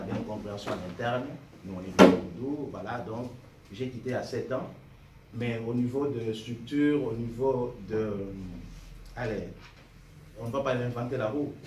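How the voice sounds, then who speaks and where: A man's voice: mid-range voice, darkish tone. A man making an earnest, argumentative impromptu speech from the floor of a political meeting. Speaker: party member speaking from the floor. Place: meeting room, indoors. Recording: roomy, distant mic, noisy hall.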